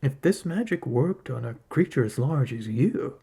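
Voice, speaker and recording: A wily male hunter of the far north, read by a man, with a husky, mellow voice. He is reluctant and argues step by step in a storybook tale, bargaining through questions. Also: medium pitch, lively intonation, normal speed, heavily acted. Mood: reluctant, reasoning.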